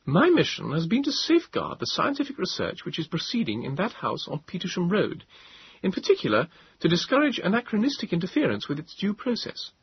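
A man voicing a brisk, commanding woman army captain in a storybook reading, speaking crisply and with authority. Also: high-pitched, wildly swooping pitch, pitched above the performer's own voice, exaggerated voice. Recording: dull, dry lo-fi sound.

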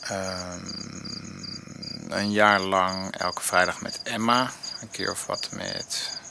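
An actor playing a troubled, evasive husband who speaks hesitantly in a Dutch radio drama. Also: male voice, sits low, steady tone, gravelly, noisy background, exaggerated voice. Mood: sheepish, counting. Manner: hesitant, halting. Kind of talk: Dutch radio drama acting with inner-monologue narration.

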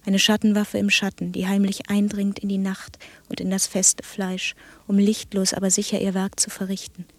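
Actress as obsessed, commanding woman for literary radio play; breathy, husky, incantatory on a restrained, level pitch. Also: completely flat delivery, normal speed, few pauses, a little mellow, no echo, close to an everyday voice. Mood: dark, trance-like. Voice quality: husky, breathy, often near-whisper. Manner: solemn, incantatory, insistent. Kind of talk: literary radio drama monologue and dialogue.

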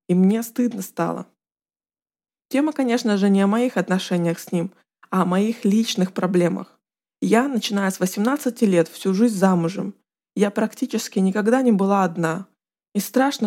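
Female narrator for a confessional first-person book, mid-range voice with a warm, mellow timbre. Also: mid-range voice. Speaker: narrator reading a book aloud.

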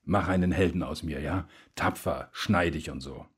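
Very husky, deep wistful veteran. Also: male voice, normal speed. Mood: wistful, wry. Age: middle-aged. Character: weary middle-aged war veteran, self-mocking, wistful old friend. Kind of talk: German radio drama dialogue.

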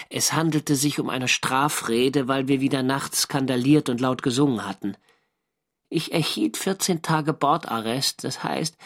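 An actor playing a German navy skipper who narrates his First World War diary in a measured, dry, literary way for a radio drama. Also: medium pitch, a bit bright. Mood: wry.

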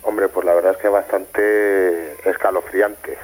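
Low, even-toned Spanish man on call. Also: takes it slowly, low-quality recording. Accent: Spain. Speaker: interview guest, former youth care educator. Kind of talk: explanatory spoken testimony. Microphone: telephone call.

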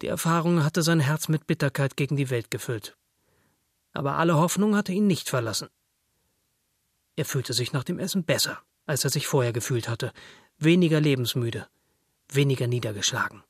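A man's voice: medium pitch, normal speed, slightly rough, no room sound. Male literary narrator in a dry room.

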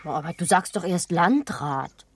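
A prim, husky-voiced young girl. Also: fairly low pitch, expressive, a little slow, no echo, full character voice. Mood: curious. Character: prim, curious young girl, the heroine's friend. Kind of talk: German radio play acting.

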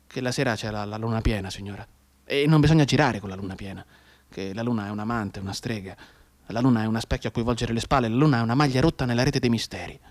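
A man performs a soldier in a theatrical radio drama, recounting war to a grieving mother. His voice sits at a middle pitch, with incantatory repetitions that swell from hushed confidences into outbursts. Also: quick pace, close to an everyday voice. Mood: sorrowful, haunted. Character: male soldier telling a dead comrade's mother of war.

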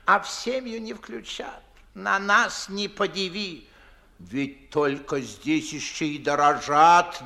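An older man playing a fawning, garrulous Moscow gentleman in a 1950s radio verse comedy, with effusive theatrical declamation. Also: high voice, exaggerated voice.

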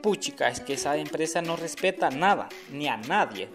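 Indignant Honduran activist played by a man, higher-pitched. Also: animated, moderate pace, natural voice. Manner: colloquial, indignant. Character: indignant male community activist and radio presenter. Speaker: actor in a radio drama. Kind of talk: scripted radio drama dialogue. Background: music.

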